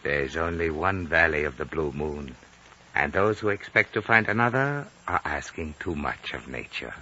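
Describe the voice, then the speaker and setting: A man's voice: a bass voice, animated, moderate pace, pushed and bright, heavily acted. A man playing an urbane, courteous Chinese elder of ninety-seven in a 1950s radio drama, suave and precise. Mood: calm, wry. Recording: muffled, hissy old radio recording.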